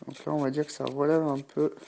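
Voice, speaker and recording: A man's voice: on the high side, level tone, brisk, creaky, warm tone, a little hiss or hum. A man with a stuffy, blocked nose from a cold, unhurriedly talking through a painting tutorial and thinking aloud with many fillers. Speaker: miniature painting hobbyist.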